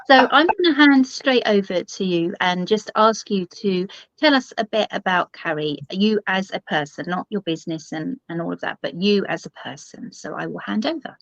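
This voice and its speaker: Woman host, mid pitch, dry and slightly muffled call audio, friendly short prompts. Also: expressive, normal speed, few pauses, fairly bright tone, dry recording. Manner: friendly, brief reactions. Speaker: interview host. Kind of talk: casual interview over a video call.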